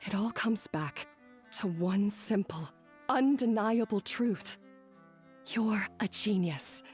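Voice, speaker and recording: An actress playing a proud but remorseful, self-doubting female head chef in a video game, speaking in an earnest, halting, dramatic way. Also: medium pitch, darkish tone, soft background music, natural voice. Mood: dejected.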